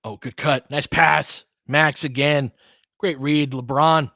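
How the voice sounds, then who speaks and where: A man doing casual live basketball play-by-play as a fan analyst, in clipped phrases, swinging between muttered asides and excited calls. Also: fairly high pitch, slow, creaky, no echo, clean studio sound.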